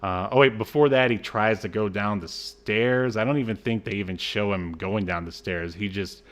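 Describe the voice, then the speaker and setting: Casting: male podcast co-host, lower-pitched voice, casual movie-review banter, halting and wryly exasperated delivery. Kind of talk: casual film review chat.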